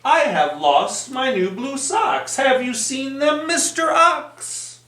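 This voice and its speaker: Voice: sits high, expressive, pushed and bright, voice pushed up, exaggerated voice. A storytime reader voicing an earnest, fretful duck who speaks in singsong rhyme. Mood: polite, hopeful. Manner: slow, singsong rhyming speech. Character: earnest, fretful duck searching for lost socks. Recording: roomy, echoing sound.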